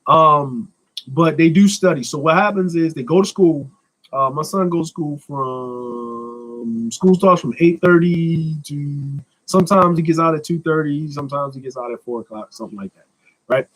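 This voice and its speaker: Blunt American man, slightly high voice. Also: poor audio. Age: 30s. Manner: blunt, slangy, profane, joking. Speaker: live stream host. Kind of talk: casual live Q&A chat.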